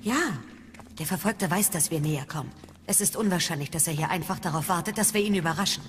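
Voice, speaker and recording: An actress playing a sober, sceptical Jedi master in a radio play, measured and cautionary. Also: female voice, lowish voice, slight background noise, lightly acted. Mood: wary.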